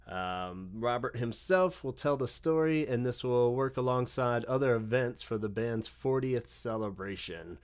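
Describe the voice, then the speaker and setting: Male podcast host, casual and chatty, smooth resonant tone, mid pitch. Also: measured pace, few pauses. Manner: easygoing, laughs often, loose filler-heavy talk. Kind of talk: casual chat.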